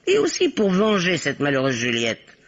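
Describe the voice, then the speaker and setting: Bossy, husky spinster sleuth, wildly animated. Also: female voice, fairly low pitch, moderate pace, heavily acted. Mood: self-satisfied. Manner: theatrical, emphatic, authoritative. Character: bossy older spinster playing amateur detective, self-assured. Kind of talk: old French radio play acting. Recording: dull, lo-fi old radio recording.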